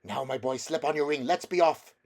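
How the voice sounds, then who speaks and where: A man voicing a fussy, sneaky old gentleman from a children's storybook. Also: fairly high pitch, brisk, voice pushed up, lightly acted. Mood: furtive urging. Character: fussy, sneaky, indignant old English uncle.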